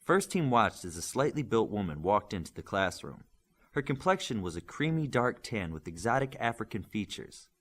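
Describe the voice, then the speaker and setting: Animated male audiobook narrator. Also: lowish voice. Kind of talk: scripted fiction narration with character dialogue.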